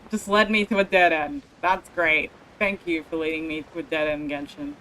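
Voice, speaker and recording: A woman's voice: low voice, steady tone, normal speed, fairly bright tone, a little hiss or hum, rough recording. A woman livestreaming a video game, commenting casually to herself in grumbling, muttered asides. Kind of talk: casual live gameplay commentary, talking to self. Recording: game music behind the voice. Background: video game music.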